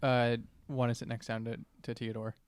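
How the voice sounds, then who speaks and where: A man with an average-pitched voice plays a tabletop game, describing actions casually. His delivery is halting as he thinks aloud, and the sound is close and dry. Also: level tone, clean tone, dry recording. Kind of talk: casual improvised role-play talk.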